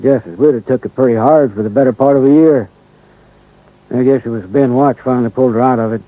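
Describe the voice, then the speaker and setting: A slow-talking country deputy sheriff, beefy and unhurried, drawling in plain rural grammar, played by a man. The voice sits at an ordinary middle pitch. It comes through the dull, muffled sound of a vintage radio drama. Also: no room sound, close to an everyday voice. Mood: laconic. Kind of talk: 1950s radio drama acting. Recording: dull lo-fi old radio recording.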